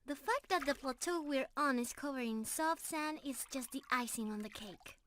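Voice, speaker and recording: A woman's voice: high-pitched, moderate pace, a bit bright, a little hiss or hum. A woman playing a sweet, bashful young mermaid in an intimate ASMR roleplay, affectionate and girlish, easily flustered and teasing. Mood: happy, excited.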